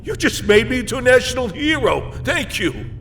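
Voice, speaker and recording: Man playing a whiny, high-pitched, creaky politician. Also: big pitch swings, moderate pace, fairly bright tone, slight background noise, exaggerated voice. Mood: smug. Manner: whiny, indignant, pompous. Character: self-important, entitled male politician, a rescued kidnap victim. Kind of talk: radio drama acting.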